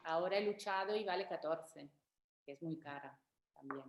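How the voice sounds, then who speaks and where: Low-voiced woman on an echoey video call. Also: normal speed. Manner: chatty, fumbling with her screen as she talks. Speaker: guest speaker, magazine editor. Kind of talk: casual conversation.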